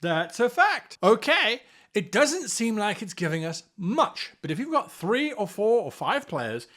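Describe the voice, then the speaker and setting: A man's voice: sits high, big pitch swings, a little slow, a bit bright. A man hosting a tabletop game-mastering show, explaining to camera with theatrical, exaggerated emphasis. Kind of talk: animated scripted explainer to camera.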